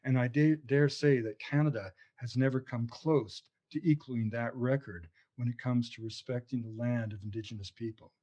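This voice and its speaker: A man's voice: mid-range voice, fairly even intonation, normal speed, hardly any pauses, dark tone, muffled recording. A man, an author and anthropologist, answering interview questions in a passionate, discursive way, often restarting his sentences.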